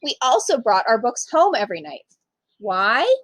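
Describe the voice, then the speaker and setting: A woman reading a children's picture book aloud as a storyteller, with emphatic, expressive delivery for young listeners. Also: a little high, moderate pace, dry recording. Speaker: storytime reader, literacy program manager.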